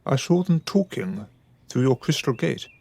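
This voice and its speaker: A man's voice: medium pitch, lively intonation, a little slow, creaky, dark tone, slightly rough, heavily acted. An actor playing a proper, wary upper-class father with a formal, reserved manner in a radio drama. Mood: hesitant.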